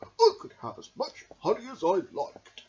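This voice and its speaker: A childlike, honey-mad bear from a picture book, acted out by a woman. The voice is eager and yearning and swings widely, pitched up, on a slightly dull recording. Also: huge pitch swings, normal speed, warm tone. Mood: wistful. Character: cheerful honey-mad bear pretending to be a bee.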